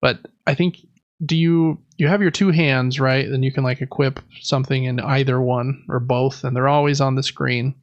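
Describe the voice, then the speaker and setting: A man's voice: medium pitch, steady tone, normal speed, no room sound, muffled recording. A man co-hosting a podcast, chatting casually about video games in an easygoing, tentative way. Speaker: podcast co-host.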